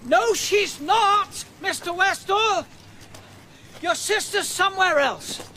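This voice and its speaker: An actor playing a calm, commanding senior police detective in a radio drama, firm and controlled. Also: male voice, very high-pitched, takes it slowly, a little hiss or hum, heavily acted. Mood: firm, grave.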